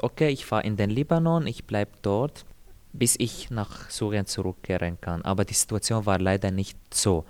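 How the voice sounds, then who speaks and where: A young man from Syria, Arabic his first language, answers interview questions about his own life. His voice sits low and even. He talks in a calm, measured way, pausing and repeating words as he searches. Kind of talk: calm personal account.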